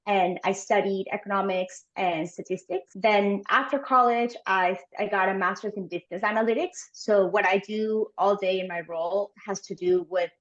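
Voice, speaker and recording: Upbeat woman, slightly lo-fi. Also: lowish voice. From Ecuador. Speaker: panelist, data analyst. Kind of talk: panel self-introduction. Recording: slightly dull lo-fi sound.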